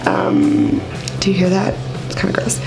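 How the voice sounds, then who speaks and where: A woman who is sick and has a raspy voice, chatting casually through a makeup tutorial with lots of fillers and rambling asides. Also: medium pitch, steady tone, measured pace, over music, rough recording. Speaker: beauty vlogger.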